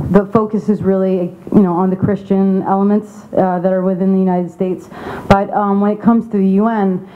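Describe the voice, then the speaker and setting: A young adult woman from Saudi Arabia giving a candid, informal conference speech as an advocacy representative. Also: on the low side. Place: conference venue. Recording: tinny phone-like sound.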